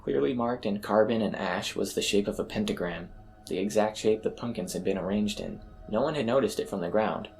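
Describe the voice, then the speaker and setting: A man reads a scripted horror tale in the first person. His voice sits fairly low and carries a gravelly fry. Faint eerie ambient music plays behind the narration. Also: brisk, darkish tone.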